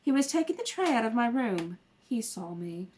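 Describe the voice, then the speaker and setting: A woman's voice: fairly high pitch, very animated, moderate pace, darkish tone. A woman playing a spoiled, indignant young society woman in an old-time radio comedy. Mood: defensive. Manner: indignant, insistent.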